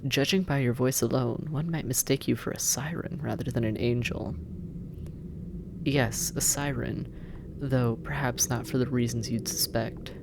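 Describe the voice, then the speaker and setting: A sardonic demon captor, played by an actor in an audio drama, drawling slowly with a gravelly fry. The teasing, intimate delivery slips into breathy murmurs and long pauses, over clearly audible background noise. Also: mid-range voice, steady tone. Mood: amused, teasing. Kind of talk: scripted roleplay audio drama, intimate second-person address.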